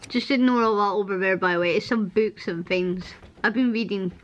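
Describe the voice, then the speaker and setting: A young Scottish woman with a strained, hoarse voice, chatting in a rambling home vlog and laughing often, filmed at arm's length in her living room. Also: medium pitch, fairly quick, highs cut off, a little hiss or hum. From Scotland. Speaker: vlogger. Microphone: camera at arm's length.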